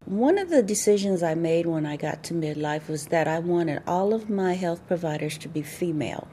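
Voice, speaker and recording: A woman recounts midlife experiences candidly, like telling a story. Her voice is low and mellow. A faint hum of ambience sits behind her. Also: animated, moderate pace. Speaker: interviewee.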